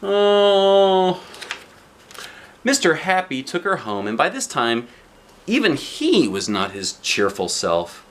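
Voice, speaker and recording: A man reading a children's book aloud like a dad, with expressive narration aimed at young listeners. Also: a little high, takes it slowly. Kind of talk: animated children's storybook narration.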